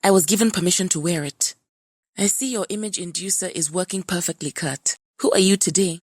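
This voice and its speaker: A woman's voice: fairly low pitch, fairly quick, no room sound, studio quality, lightly acted. A woman playing a poised, formal and dryly spoken superheroine in an audio drama. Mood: composed, dry.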